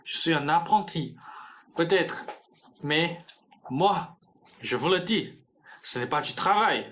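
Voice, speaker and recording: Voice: medium pitch, expressive, takes it slowly, a bit bright, a little hiss or hum. A young student actor playing a sullen, lovesick young male apprentice thief in a radio play, earnest and insistent. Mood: impatient. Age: young adult. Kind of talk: theatrical radio-play acting.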